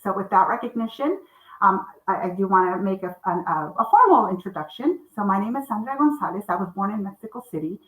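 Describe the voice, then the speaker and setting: A middle-aged woman whose first language is Spanish, a children's book author speaking in an earnest, measured way at a library talk over a video call. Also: mid-range voice, sing-song, fairly bright tone, echoey room, low-quality recording.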